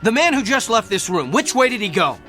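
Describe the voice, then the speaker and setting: A brusque, arrogant doctor in a radio drama, who talks with a bright, forceful edge. His pitch sits a little high and swings widely. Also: big pitch swings, a little fast, faint music behind, full character voice. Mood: puzzled. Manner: curt and defensive. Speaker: physician.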